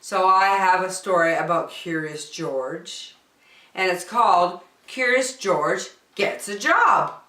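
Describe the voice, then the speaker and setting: A woman hosting story time and reading a children's picture book aloud in a deliberate, expressive way for young listeners. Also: fairly low pitch. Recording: echoey room, distant microphone.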